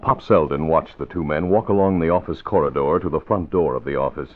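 A man's voice: very deep voice, a little fast. A man announcing and narrating dramatically in the scripted style of old radio. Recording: dull old radio recording. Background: music.

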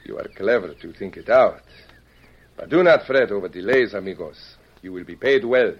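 Cruel Mexican gang leader, Mexican accent, lowish pitch, taunting, old radio western, muffled lo-fi sound. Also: male voice, animated, slightly rough. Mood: gloating, menacing. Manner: smug, menacing, drawn-out taunting. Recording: dull old radio recording.

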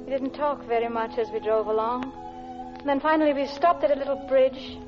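A woman's voice: high voice, steady tone, brisk, close to an everyday voice. An actress playing a guilty, lovelorn married Englishwoman in an old radio drama, with a clipped, genteel English accent and wistful restraint. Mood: sorrowful. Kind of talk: old radio drama acting, first-person narration. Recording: muffled old radio recording, music behind.

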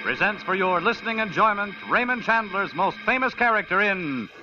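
A man announcing a sponsor and show on an old-time radio program, with an enthusiastic sales-pitch manner. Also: animated, moderate pace, music in the background. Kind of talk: 1940s radio commercial announcing. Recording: lo-fi old radio with faint hiss.

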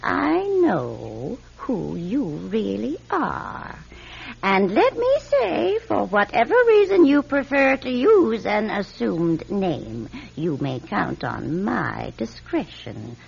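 An actress playing a nosy, prim, stubborn spinster neighbour in a radio drama, with fussy, affectedly genteel manners. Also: female voice, mid-range voice, huge pitch swings, takes it slowly, gravelly, slight background noise, heavily acted. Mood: smug, knowing. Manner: fussy, mannered, flustered stammer giving way to pointed formality. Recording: dull, lo-fi radio drama recording.

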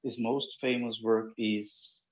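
Slow, polite man with a Rio accent. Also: fairly low pitch, fairly even intonation, highs cut off. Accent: Brazilian, Rio de Janeiro. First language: Portuguese. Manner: polite and careful. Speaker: podcast guest.

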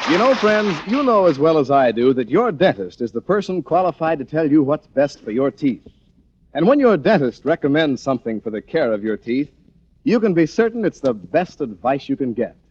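Animated man announcing a vintage radio ad. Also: a little high, normal speed, slightly rough, some chatter behind. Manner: polished, direct address to listeners. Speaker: commercial announcer. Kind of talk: 1940s radio ad read. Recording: dull vintage radio recording.

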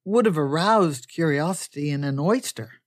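A woman's voice: low voice, expressive, normal speed, darkish tone, no echo, studio quality. A woman voicing a confident, gallant male army major in an audiobook, with wry, clipped replies.

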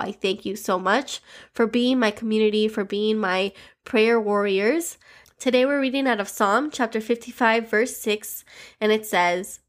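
A woman from Miami, sounding stuffy from a cold, hosting a daily devotional podcast and leading listeners in prayer with an earnest, heartfelt manner. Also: mid-range voice. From Miami, Florida.

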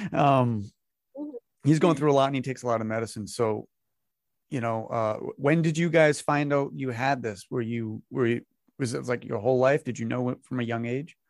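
A relaxed man with a New England accent hosts a casual chat, pausing on fillers and chuckling between questions. His voice sits at an everyday pitch and sounds dry and close, with no room around it. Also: dry recording. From Massachusetts, near the Rhode Island border. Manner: laid-back, chuckles often, halting with many fillers. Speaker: podcast host.

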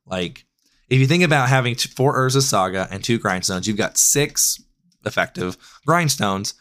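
A man with a raspy voice, hoarse from a cold, casually breaking down card game decklists as a podcast host, often correcting himself mid-sentence. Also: mid-range voice, lively intonation, normal speed, fairly bright tone. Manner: loose, rambling with frequent self-corrections. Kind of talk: casual deck analysis.

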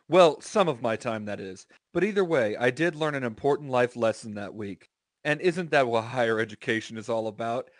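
A man's voice: mid-range voice, lively intonation, normal speed, dry recording, clean studio sound. A man reading aloud as a podcast co-host, informal and profane, breaking into casual banter.

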